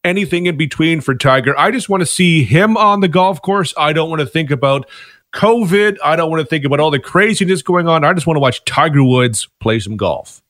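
A man giving a radio sports report, explaining events in a measured, steady way into a studio microphone. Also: a little high, no room sound.

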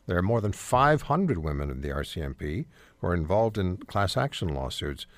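A man hosting a radio talk show, firm and quick to cut in on callers. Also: low-pitched, sing-song, no echo. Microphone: studio microphone.

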